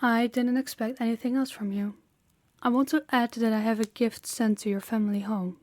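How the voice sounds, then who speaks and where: A woman playing a dominant, stern mafia boss in an audio roleplay, speaking formally and deliberately with authority. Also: fairly high pitch, fairly even intonation, a little mellow, no echo, lightly acted. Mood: composed, businesslike.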